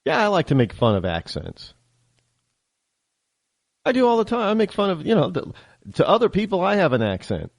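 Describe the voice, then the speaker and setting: A man's voice: sits high, wildly swooping pitch, normal speed, vocal fry, pauses often, dry recording, studio quality. A man with a slight central upstate New York accent, hosting a call-in radio talk show in a casual, rambling way with many restarts.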